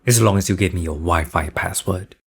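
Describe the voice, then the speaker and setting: A man's voice: low voice, fairly quick, noisy background. A man who does amateur voice acting, chatting casually and jokingly between takes. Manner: playful, joking. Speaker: amateur voice actor.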